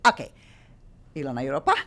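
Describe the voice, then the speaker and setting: Lady hosting a radio show, low voice, stops and restarts a lot. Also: sing-song, a bit bright. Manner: halting non-native English with many restarts.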